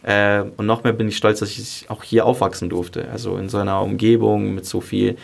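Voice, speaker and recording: Young man with a creaky voice. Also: fairly low pitch, little pitch movement, a little fast. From Greece and southern Germany. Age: early 20s. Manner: candid, youthful colloquial phrasing, emphatic repetition. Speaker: podcast guest, singer and song contest contestant. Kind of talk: casual interview chat.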